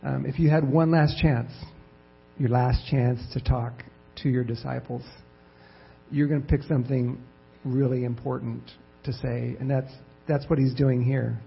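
Soft-spoken male lay preacher, gravelly and a bit raspy, stumbling over fillers. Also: medium pitch, fairly even intonation, faint music behind. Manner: halting, with many fillers and repeated words. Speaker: church missions president. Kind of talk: lay sermon with scripture reading. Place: church sanctuary. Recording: dull lo-fi church recording.

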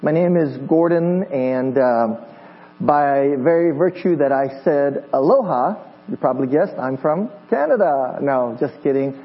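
A man from Hawaii in his fifties, preaching to a congregation in a friendly way that jokes and stumbles over restarts. His voice sits a little high. The recording is dull and lo-fi, with a mains hum underneath. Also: animated, a little slow. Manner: friendly, joking, with many fillers and restarts. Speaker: pastor. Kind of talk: sermon.

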